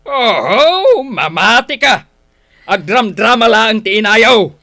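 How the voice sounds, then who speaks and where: A bright, forceful voice that swings widely in pitch marks this quarrelsome father. He is a hard drinker who sneers and taunts in radio drama style. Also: big pitch swings, slow pace, full character voice. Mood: scornful, angry. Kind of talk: Ilocano radio drama acting.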